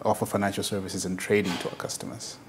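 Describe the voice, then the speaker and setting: A man from Zimbabwe with a lowish voice gives interview answers. He hesitates often, with fillers and restarts. Faint noise sits behind the recording. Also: flat delivery, quick pace. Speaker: country manager, guest.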